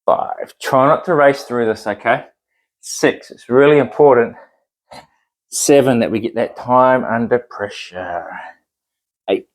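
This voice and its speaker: A man's voice: mid-range voice, slow pace, pauses often, low-quality recording. A man with a New Zealand accent demonstrating a home workout, chatting encouragingly and counting reps aloud while he exercises. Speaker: fitness instructor.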